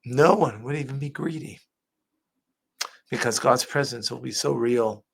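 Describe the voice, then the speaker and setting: A man's voice: medium pitch, unhurried, vocal fry, slightly rough. A man, a rabbi, giving earnest religious commentary as he reacts to a clip. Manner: earnest, preacher-like teaching.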